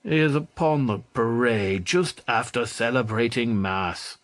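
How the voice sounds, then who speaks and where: A man's voice: mid-range voice, unhurried, close to an everyday voice. A man voicing a curt, matter-of-fact soldier sergeant in a storybook reading.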